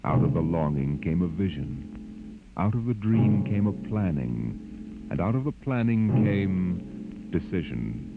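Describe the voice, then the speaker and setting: An actor playing a solemn, portentous narrator and announcer in a 1950s radio drama. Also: male voice, deep voice, expressive, slow, creaky, heavily acted. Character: solemn, grandiose male narrator intoning a creation myth. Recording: very dull old radio recording, loud music.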